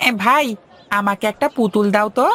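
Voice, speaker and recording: A woman's voice: mid-range voice, normal speed, pushed and bright, slight background noise, close to an everyday voice. A woman voicing an obliging, easily flustered male servant in a cartoon, eager and folksy. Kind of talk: cartoon voice acting.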